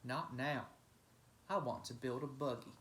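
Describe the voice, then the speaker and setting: A man voicing a mean, gruff loner who growls and grumbles in a children's storybook. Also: medium pitch, measured pace, fairly bright tone, reverberant, lightly acted. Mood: grudging.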